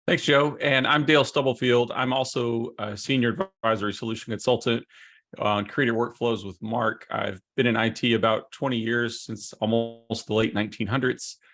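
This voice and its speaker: A man's voice: medium pitch, level tone, normal speed, a bit bright. A middle-aged man, a consultant, presenting in a webinar and chattily prompting his co-presenter with questions. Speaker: solution consultant.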